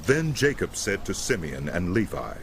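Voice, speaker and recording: A man narrating scripture from a script as a solemn, measured storyteller. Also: deep voice, very animated, slight background noise.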